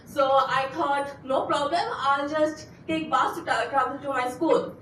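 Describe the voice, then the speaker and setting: A woman from Bangladesh, a graduate student giving an earnest competition pitch, stumbling and restarting now and then. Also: steady tone, measured pace, fairly bright tone, roomy sound, far from the mic, slight background noise, low-quality recording. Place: auditorium.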